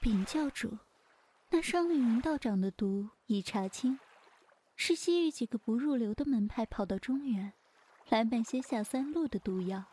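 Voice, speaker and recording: Female sect guardian played by a woman, breathy, highish, dutiful and formal, wuxia audio drama. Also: animated, warm tone. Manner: formal, measured reporting. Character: deferential female lieutenant of a martial sect.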